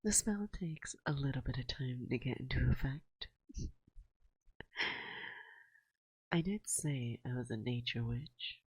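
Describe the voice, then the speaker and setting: A woman playing a dominant, teasing and affectionate forest witch in an ASMR roleplay, speaking in a hushed, breathy voice that often drops to a whisper, close to the microphone. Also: extremely low pitch, no room sound, poor audio. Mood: soothing. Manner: slow, soothing, teasing, gently dominant. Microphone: close binaural microphone.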